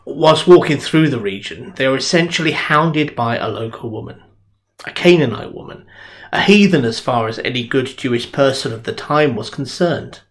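A man's voice: mid-range voice, animated, normal speed. A middle-aged man, a minister, giving a sermon in a conversational, earnest storytelling way from his home study into a webcam. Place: home study with bookshelves, indoors. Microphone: webcam at desk.

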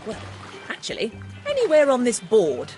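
Lively high-pitched otter sea captain. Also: female voice, moderate pace, soft background music. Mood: jolly, hearty. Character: cheerful welcoming female otter ship captain. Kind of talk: children's cartoon game acting.

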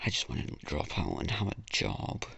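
A teenage boy rambling self-mockingly through a low-key channel intro in a flat, gravelly voice. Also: moderate pace, no room sound. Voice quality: gravelly, husky, flat-sounding voice. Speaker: YouTuber. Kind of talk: casual channel intro voice-over.

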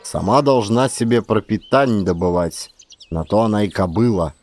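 A man narrating a literary story as a dramatized audiobook, with expressive, theatrical delivery. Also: lowish voice, moderate pace.

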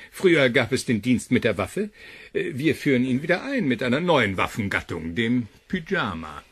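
Sci-fi audio drama: sardonic male scientist, jovial and theatrical, very animated intonation, slightly husky. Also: medium pitch, moderate pace, heavily acted. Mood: sardonic, playful. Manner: jovial, mocking flourishes. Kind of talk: German sci-fi radio drama acting.

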